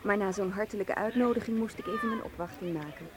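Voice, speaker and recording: A woman's voice: mid-range voice, fast talker, natural voice. A woman acting in a radio drama as a poised, evasive and coolly polite researcher.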